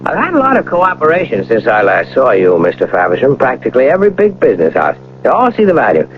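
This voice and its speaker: A man playing a polished, courteous young gentleman bank robber in a radio drama, speaking formally and articulately. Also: medium pitch, wildly swooping pitch, fast. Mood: polite, smooth. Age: young adult. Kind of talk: 1980 radio drama acting. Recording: muffled, noisy old radio broadcast.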